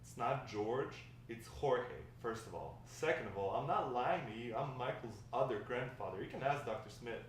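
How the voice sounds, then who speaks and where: A man's voice: mid-range voice, normal speed, few pauses, natural voice. Man playing brash grandpa in an echoey room. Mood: indignant. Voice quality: slangy, swaggering talk. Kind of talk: amateur screenplay table read. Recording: echoey room, distant mic, faint noise.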